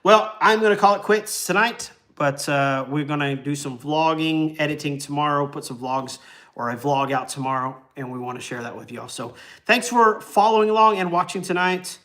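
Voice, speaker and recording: A forty-year-old man chats loosely on a live travel stream, filling his pauses with ums and uhs. His voice sits a bit high for a man, and he sounds relaxed and unhurried. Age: 40s. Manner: rambling and easygoing, many ums and uhs, chuckles. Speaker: travel vlogger hosting a livestream. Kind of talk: casual livestream chat.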